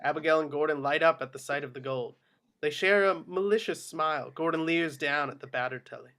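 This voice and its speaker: A man's voice: a little high, fairly quick, a bit bright. A man reading screenplay action descriptions as scripted narration at a table read. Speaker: reader of stage directions.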